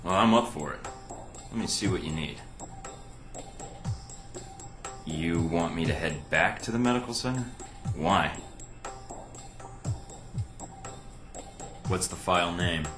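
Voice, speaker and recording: A man's voice: low voice, normal speed, lightly acted. An actor playing a young male spy recruit in a video game, wry and laconic, with dry understatement. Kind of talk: video game cutscene acting. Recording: roomy echo, music behind.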